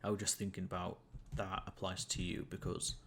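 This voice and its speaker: A man's voice: deep voice, completely flat delivery, a little fast, fairly bright tone, slight background noise. A young man chatting casually to stream viewers while gaming, rambling and amused at himself, with many fillers and restarts. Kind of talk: casual gameplay chat to viewers.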